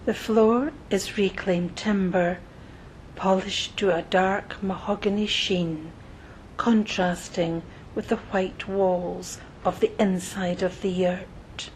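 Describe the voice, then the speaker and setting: A woman narrating a scripted guided meditation in a soothing, measured manner, pausing between short phrases, with soft breathy phrase endings. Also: fairly even intonation, rough recording. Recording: noticeable low rumbling background noise.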